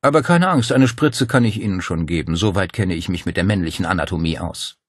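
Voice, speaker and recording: A man's voice: low voice, animated, a little fast, breathy edge, no echo, studio quality. A man voicing an unruffled, condescending doctor with a solicitous, dryly indulgent manner in an audiobook. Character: unruffled, condescending male gynaecologist, feigning concern. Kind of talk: dramatic audiobook dialogue.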